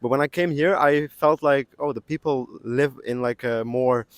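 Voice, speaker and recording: A young man from the Netherlands giving relaxed answers in a street interview, often restarting his sentences. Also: mid-range voice, normal speed, dry recording, clean studio sound. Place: outdoors on a street.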